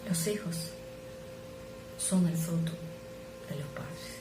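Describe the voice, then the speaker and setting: Low-voiced non-native woman preaching. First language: not Spanish. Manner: earnest, measured, drops to soft asides. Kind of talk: sermon. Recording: echoey room with noise.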